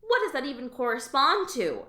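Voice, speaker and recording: A woman's voice: sits high, wildly swooping pitch, normal speed, sharp tone, reverberant, exaggerated voice. A woman playing a frazzled alien office worker, exasperated and sarcastic. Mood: frustrated. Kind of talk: audio drama.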